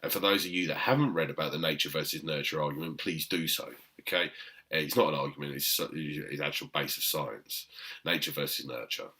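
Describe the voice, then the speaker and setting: A British man, a personal trainer with a very deep voice, talking emphatically to camera with frequent "okay?" asides and fillers. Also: brisk, sharp tone. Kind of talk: unscripted talk to camera.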